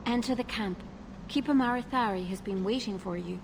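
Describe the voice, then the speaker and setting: Elf sentry played by a woman, medium female pitch, cool and stern, fantasy game, noisy background. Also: brisk, close to an everyday voice. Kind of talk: fantasy video game acting.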